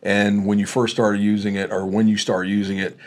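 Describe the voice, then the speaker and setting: A man's voice: on the low side, flat delivery, moderate pace. A man in his fifties hosting a fishing show, chatting casually in a folksy, plain-spoken way. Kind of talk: casual interview chat. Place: indoor office-like room. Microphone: clip-on wireless mic.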